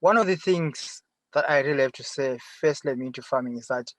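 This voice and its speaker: A middle-aged man with a Zimbabwean accent answering interview questions conversationally from inside a car, often pausing and rephrasing. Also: medium pitch, no echo. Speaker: interview guest, farmer. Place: sitting in a parked car.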